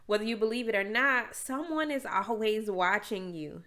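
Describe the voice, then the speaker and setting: A young adult woman hosts her own podcast, talking straight to the listener in a candid, emphatic way. Her voice is smooth and resonant despite a stuffy, congested nose, and sits at an ordinary middle pitch. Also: moderate pace, pushed and bright. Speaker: podcast host. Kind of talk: solo podcast monologue.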